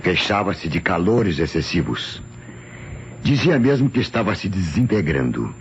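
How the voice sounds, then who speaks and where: A man's voice: low-pitched, very animated, heavily acted. An actor with a Brazilian accent playing a polite male doctor giving careful, formal testimony in an old radio drama, on a muffled, noisy recording. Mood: earnest, explanatory. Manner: formal, explanatory, deliberate.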